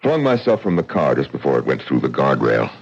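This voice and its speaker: Deep-voiced bitter widower, sarcastic; dull hissy radio sound. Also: male voice, very animated, fairly quick, husky, full character voice. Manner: sharp, sarcastic. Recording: muffled old radio recording, faint hiss.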